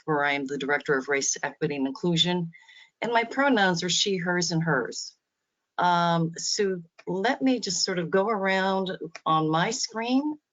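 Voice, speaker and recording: A woman facilitating a panel from her home on a video call, speaking in a friendly, easygoing, self-deprecating way. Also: lowish voice. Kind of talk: conversational introductions on a video call. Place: home office. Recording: dull lo-fi video call audio.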